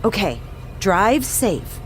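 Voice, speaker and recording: A woman's voice: medium pitch, sing-song, takes it slowly, a little hiss or hum. An actress playing a clingy, sentimental middle-aged mother in a video game cutscene. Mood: clingy, tender.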